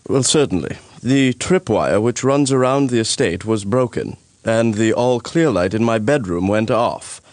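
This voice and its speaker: A man's voice: lowish voice, unhurried, few pauses, no echo, lightly acted. A man voicing a self-satisfied wealthy cartoon estate owner, speaking in a smug, precise way. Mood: self-assured. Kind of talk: animated courtroom cartoon voice acting.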